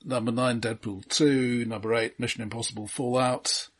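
Man, husky, hesitant and measured, dry understatement, casual film podcast talk. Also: mid-range voice, flat delivery.

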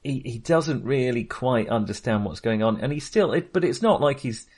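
A man with an average-pitched voice talks casually about films as a podcast co-host. He rambles a little and hesitates, working out his thoughts as he speaks. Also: expressive, moderate pace, darkish tone, slightly rough. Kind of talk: casual film discussion.

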